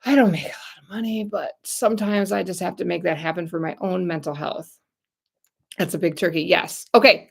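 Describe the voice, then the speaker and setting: Gravelly-voiced chatty American woman. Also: mid-range voice. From United States. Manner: chatty, rambling, talks to viewers directly. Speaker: YouTube channel host. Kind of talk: casual livestream chat.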